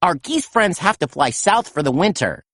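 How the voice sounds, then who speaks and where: Woman acting a confident, lively boy team leader. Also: fairly low pitch, normal speed, no room sound, studio quality. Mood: urgent. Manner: urgent, instructing. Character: confident, caring boy leader of rescue pups.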